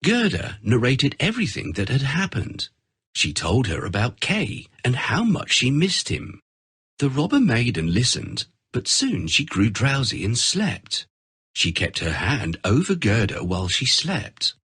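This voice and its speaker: A man narrating a scripted children's story as an expressive storyteller. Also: mid-range voice, unhurried, breathy edge, no room sound, studio quality.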